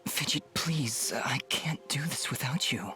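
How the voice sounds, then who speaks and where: A man's voice: medium pitch, lively intonation, unhurried, sharp tone, in a whisper, soft background music. An actor playing a troubled young swordsman hero in a video game, solemn and intense. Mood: gentle, unsure. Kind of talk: dramatic video game acting.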